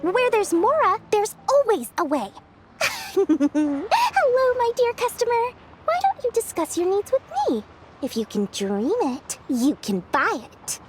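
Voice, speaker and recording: A woman voicing a small, childlike merchant creature in a video game, giving a showy, sing-song sales pitch. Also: big pitch swings, takes it slowly, music in the background, exaggerated voice. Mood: eager, salesy.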